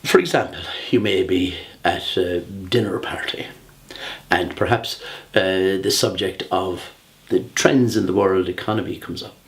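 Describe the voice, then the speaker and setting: An older Irish man speaks in a somewhat low register, presenting a humorous prepared speech. His delivery is theatrical and measured, with deliberate pauses between phrases. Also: fairly bright tone. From Ireland. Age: 60s.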